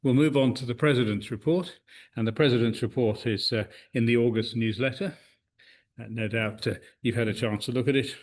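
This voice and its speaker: A man at a middle pitch chairs a club meeting in a meeting room. His voice is loud, and he stops and restarts often with plenty of uhs. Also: steady tone, brisk. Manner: hesitant, many uhs and restarts.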